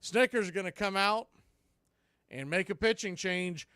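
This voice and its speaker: Clear-voiced male baseball play-by-play announcer. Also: sits high, measured pace, pushed and bright, no room sound, clean studio sound. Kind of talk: live baseball commentary.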